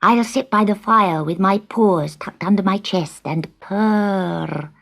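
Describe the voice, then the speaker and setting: A woman's voice: mid-range voice, takes it slowly, vocal fry, a bit bright, heavily acted. A woman voicing a small, timid, good-hearted young male kitten in a children's storybook, earnest and plaintive. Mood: earnest, wistful.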